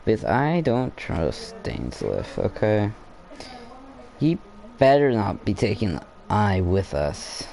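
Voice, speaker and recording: Low-voiced young man commenting on gameplay. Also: wildly swooping pitch, creaky, warm tone, rough recording. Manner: halting, thinking aloud. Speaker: gaming video host.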